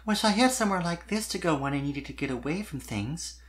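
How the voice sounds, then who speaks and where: Narrator acting as young mare pony, high soft voice, shy, sweet, hesitant, wistful, storybook character. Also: lively intonation, fairly quick, lightly acted.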